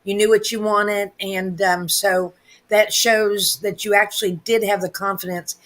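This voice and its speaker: A woman on a comedy panel, talking quickly and correcting herself as she rambles. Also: medium pitch, flat delivery, a bit bright. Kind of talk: casual chat.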